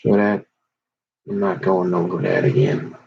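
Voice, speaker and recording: Male vlogger, lowish husky voice, meandering storyteller with lots of restarts, muffled lo-fi recording. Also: very animated, a little slow, slight background noise. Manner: meandering, restarts often, talks to viewer. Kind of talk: rambling casual monologue. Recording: dull lo-fi audio.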